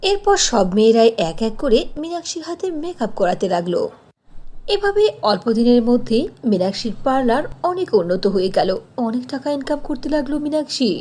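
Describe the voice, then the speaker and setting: A woman's voice: on the high side, a little mellow. A woman narrating a scripted cartoon story in a storybook style.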